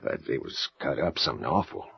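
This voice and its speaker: An actor playing a folksy rural police sergeant in an audio horror drama, speaking in halting country phrases. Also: male voice, rumbling low, animated, vocal fry, breathy edge, full character voice. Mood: grim, puzzled. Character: rural police sergeant, folksy male, haunted by old case. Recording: muffled, dull audio drama recording.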